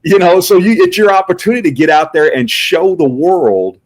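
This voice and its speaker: A middle-aged man who works as a Santa performer answers interview questions with a booming voice and many uhs and restarts. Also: lively intonation, moderate pace. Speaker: professional Santa Claus performer, guest.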